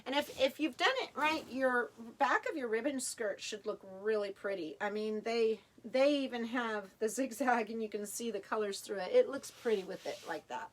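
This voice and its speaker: A woman teaching a live sewing tutorial in a chatty, hands-on way, her voice slightly raspy and tired as she works. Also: on the high side, normal speed, few pauses, poor audio. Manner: chatty, talks while working, restarts often. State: tired, a little hoarse. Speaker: sewing instructor.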